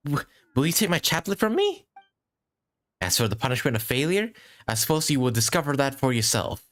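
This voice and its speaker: A man reading game dialogue as a gentle yet menacing spirit, in a formal, solemn, deliberate manner. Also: medium pitch, pitched above the performer's own voice.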